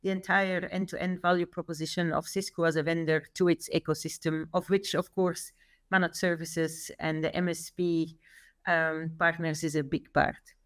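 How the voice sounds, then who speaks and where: Woman, Belgian accent, podcast guest, composed, measured delivery. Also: low-pitched, little pitch movement. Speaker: podcast guest, company executive.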